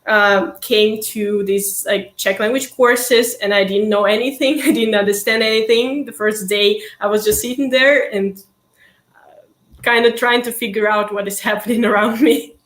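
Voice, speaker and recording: A young woman, a university student, answering interview questions in a hesitant, casual way with many pauses and easy laughter. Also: mid-range voice, fairly even intonation, a bit bright, roomy sound. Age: young adult.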